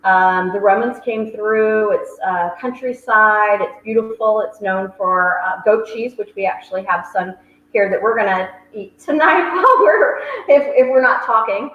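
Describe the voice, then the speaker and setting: A woman's voice: mid-range voice, moderate pace. A woman hosting a virtual wine tasting, chatty and full of ums. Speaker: wine shop host. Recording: roomy, dull sound with faint hiss.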